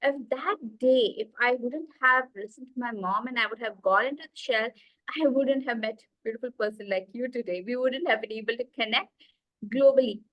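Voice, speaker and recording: A woman's voice: fairly high pitch, brisk, no room sound, dull audio. Eager Indian woman telling her story. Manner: eager storytelling with many fillers, gesturing. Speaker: guest, executive coach. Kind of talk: video call interview. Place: small room indoors. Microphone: video call.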